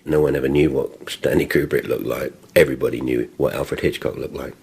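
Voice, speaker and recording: A man's voice: extremely low pitch, fairly even intonation, brisk, gravelly, a little mellow, husky. A man giving his opinions as a film commentator in a documentary interview.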